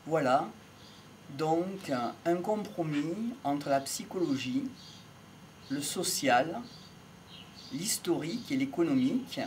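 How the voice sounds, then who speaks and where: A middle-aged man gives an improvised, reflective monologue in a measured way, pausing between short phrases. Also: on the high side, lively intonation, a little hiss or hum. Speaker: amateur lecturer on psychology and philosophy. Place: outdoors in an open field by trees. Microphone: small wired mic held near the mouth.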